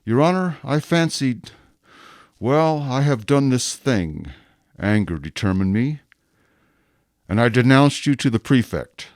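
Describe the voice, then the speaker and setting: An actor playing a rigid, dutiful police inspector in a radio drama, speaking formally and deliberately with stiff deference. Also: male voice, mid-range voice, expressive, a little mellow, dry recording, studio quality. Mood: grave confession. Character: rigid, dutiful male police inspector, self-accusing and obsessive.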